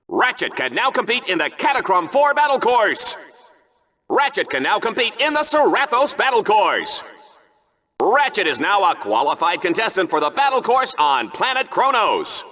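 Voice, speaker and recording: An actor playing a bombastic, smug, bloodthirsty game-show sports announcer in a video game, with theatrical, mock-sincere delivery. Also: male voice, sits high, sharp tone, full character voice. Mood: proclaiming. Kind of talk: hyped-up arena commentary for a video game.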